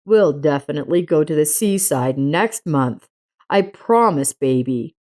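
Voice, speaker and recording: A woman voicing a gentle, reassuring father in a narrated story, speaking in a soothing way. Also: sits low, lively intonation, measured pace.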